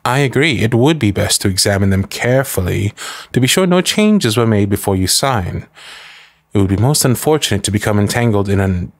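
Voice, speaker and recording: A low male voice, recorded close and dry, an actor as a noble lady's loyal manservant in a roleplay drama. He is formal and measured, deferential to his mistress, with a protective, drily disapproving edge. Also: expressive, no echo. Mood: coolly polite. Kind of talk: scripted ASMR roleplay acting.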